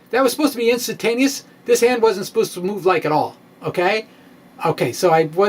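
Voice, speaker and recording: A middle-aged man presenting a science video blog in an informal, halting, self-deprecating way, with emphatic swings, close to a microphone in a room at home. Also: sits high. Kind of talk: informal explanatory lecture to camera, part reading. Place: small room at home with bookshelves. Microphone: microphone on a stand close in front.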